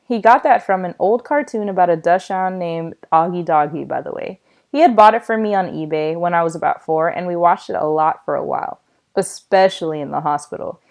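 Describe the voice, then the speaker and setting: A woman's voice: fairly low pitch, brisk, darkish tone. Woman reading a children's story aloud. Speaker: reader of a children's novel. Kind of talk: read-aloud storytelling with acted dialogue.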